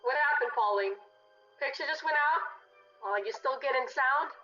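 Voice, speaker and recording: A woman acting in a radio play as a wry, inquisitive neighbor, animated and articulate. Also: sits high, normal speed, soft background music. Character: wry adult neighbor with an engineer's curiosity. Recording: roomy, dull lo-fi recording.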